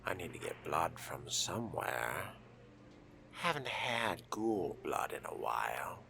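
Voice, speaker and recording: A man with a very deep, creaky voice murmurs in character as a wry, theatrical vampire. He speaks very slowly and whisperingly, over clearly noisy game ambience. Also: sing-song, bright, forceful tone. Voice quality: gravelly, whispery. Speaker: player voicing a vampire in a game. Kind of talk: hushed in-character role-play. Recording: noticeable background noise and game ambience.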